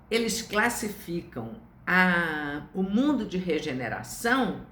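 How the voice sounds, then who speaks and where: An older woman in her seventies speaks with a Brazilian accent, as if teaching a study group. Her delivery is slow and deliberate, at an average pitch. The room adds a clear echo around her voice. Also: a bit bright. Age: 70s. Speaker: spiritist study lecturer. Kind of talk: informal religious lecture to online viewers. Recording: echoey room.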